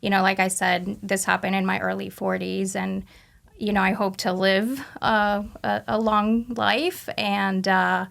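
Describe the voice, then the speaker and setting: A woman in her forties from Argentina, a native Spanish speaker, chatting casually as an interview guest and pausing often with ums and uhs. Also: mid-range voice, level tone, creaky, a bit bright. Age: 40s. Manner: halting, lots of ums and uhs, light laughs. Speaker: guest, patient.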